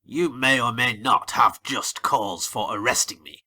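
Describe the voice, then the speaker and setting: A man's voice: mid-range voice, measured pace, pushed and bright. A man playing a fierce old colonel under arrest, haughty and indignant, in an audiobook reading. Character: fierce old male colonel, bitter prisoner.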